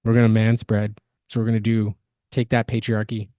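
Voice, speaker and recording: A woman's voice: extremely low pitch, flat delivery, a little fast, dark tone, dry recording. Opinionated woman podcaster, close dry sound. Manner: opinionated, mocking. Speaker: podcast co-host. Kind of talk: casual reaction commentary.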